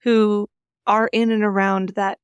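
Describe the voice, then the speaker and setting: A woman's voice: medium pitch, level tone, a little slow, clean tone, no echo, dull audio. A woman podcast co-host who moves between reading scripture and earnest, enthusiastic chat. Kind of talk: Bible reading and casual group chat.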